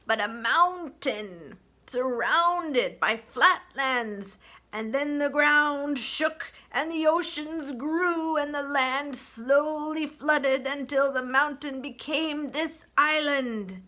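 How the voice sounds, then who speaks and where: A woman voicing an ancient, solemn male turtle elder in a children's storybook, with slow, weighty, drawn-out delivery. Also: high-pitched, sharp tone, pitched above the performer's own voice, exaggerated voice.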